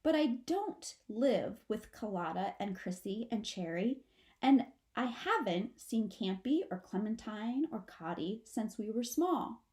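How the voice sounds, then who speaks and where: A woman's voice: mid-range voice, lively intonation, measured pace, mellow, close to an everyday voice. A woman voicing a mother possum in a storybook, a firm but wistful parent with a stagey, instructing manner.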